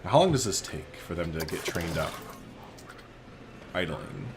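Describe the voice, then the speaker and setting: A man's voice: deep voice, expressive, normal speed, noisy background. A man hosting a gaming video, chatting casually and playfully as he thinks aloud through his play. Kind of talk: casual let's play commentary.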